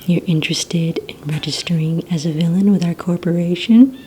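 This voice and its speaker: A woman whispering softly and breathily in an ASMR roleplay, playing a polite receptionist who asks questions in a gentle, hesitant manner. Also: lowish voice, moderate pace, slight background noise. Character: courteous female villain recruitment receptionist.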